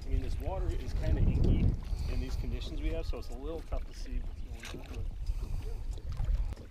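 A man's voice: medium pitch, fairly quick. A man in his thirties, a guest angler explaining in a laid-back, matter-of-fact way from a small boat on a windy lake, caught on an action camera. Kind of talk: casual fishing chat.

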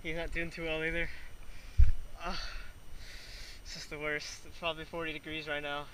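A man's voice: a little high, little pitch movement, moderate pace, noisy background. A young man, wet and very cold, talking casually to an action camera in a vlog outdoors on a river. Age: young adult. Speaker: vlogger, kayak angler. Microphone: action camera at arm's length.

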